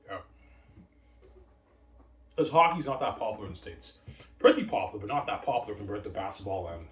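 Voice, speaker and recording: Deep-voiced guy rambling on and on, repeats himself a lot, sits back from the mic in an echoey room. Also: big pitch swings, a little fast, distant mic. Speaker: solo vlogger. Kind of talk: rambling solo monologue. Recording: echoey room, voice off-mic with faint background noise.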